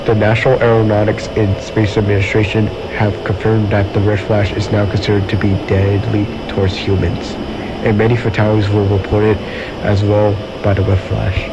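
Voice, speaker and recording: A man's voice: lowish voice, normal speed, lightly acted. Muffled, noisy broadcast; man as a grave emergency announcer, formal delivery for a disaster drama. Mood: grave, urgent. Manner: formal, flat reading. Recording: dull lo-fi audio with loud noise.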